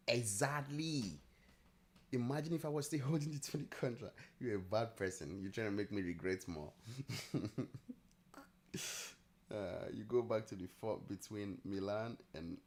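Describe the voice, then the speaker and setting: Low-voiced man with mixed Nigerian-Italian accent. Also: darkish tone. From Nigeria, raised partly in Italy. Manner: easygoing, chatty, thinks aloud, laughs often. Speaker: trading livestream host. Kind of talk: casual live-stream chat answering viewers.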